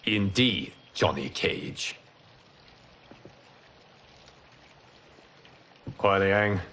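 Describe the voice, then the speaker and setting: An actor playing a noble fire god and wise mentor in a video game, speaking formally, gravely and in measured phrases. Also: male voice, deep voice, lively intonation, pushed and bright, a little hiss or hum, exaggerated voice. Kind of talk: video game cutscene acting.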